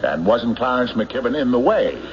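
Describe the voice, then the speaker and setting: An actor in an old-time radio drama playing a shrewd, relentless sheriff who questions suspects with deliberate, accusatory pauses. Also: male voice, medium pitch, very animated, highs cut off, natural voice. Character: shrewd, relentless small-town sheriff interrogating murder suspects. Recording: noisy band-limited old radio broadcast recording.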